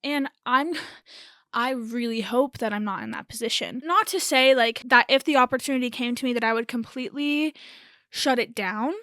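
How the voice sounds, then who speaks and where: Hoarse, raspy young woman rambling casually. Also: fairly high pitch, moderate pace, fairly bright tone, dry recording, clean studio sound. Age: early 20s. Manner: rambling, self-correcting, laughs nervously. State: hoarse from a lingering cold. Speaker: podcast host. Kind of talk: casual solo chat.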